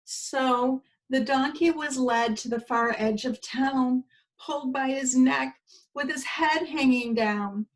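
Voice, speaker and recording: A woman's voice: a little high, low-quality recording. A woman preschool teacher reading a storybook aloud expressively to young children, with little asides to her listeners.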